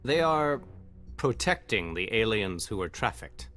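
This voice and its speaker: An actor playing a grave, formal squad agent in a tactical game, speaking in deliberate, stiff phrases. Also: male voice, lowish voice, very animated, fairly bright tone. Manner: formal, deliberate, without contractions. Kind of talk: scripted game dialogue. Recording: faint background music.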